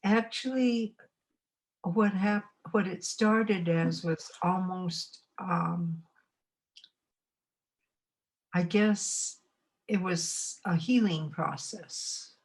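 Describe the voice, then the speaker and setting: A woman in her eighties from Montana, recalling her life in an oral history interview. She talks unhurriedly and reflectively, often pausing to find her words. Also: on the low side, poor audio. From Montana, United States. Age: 80s.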